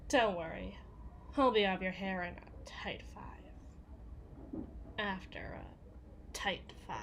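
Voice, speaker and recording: A woman's voice: fairly high pitch, a little hiss or hum, exaggerated voice. A woman playing a tough, foul-mouthed, guarded bodyguard for a villain in an ASMR roleplay, with a sardonic, slangy delivery. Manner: sardonic, swearing, casual slangy drawl. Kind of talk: ASMR roleplay, acted monologue.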